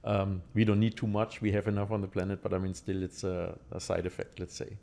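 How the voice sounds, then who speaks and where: Podcast guest: German-accented man, low relaxed voice, halting conversational explainer who checks that the listener follows. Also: vocal fry.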